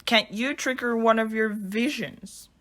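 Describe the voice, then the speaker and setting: A woman's voice: on the high side, a little slow, a bit bright. A woman playing a chatty, welcoming, supportive journalist friend in an amateur audio drama. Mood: intrigued.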